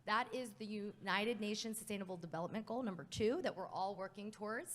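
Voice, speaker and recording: A woman's voice: mid-range voice, fairly bright tone. A woman moderating a live conference panel, upbeat and emphatic in her delivery.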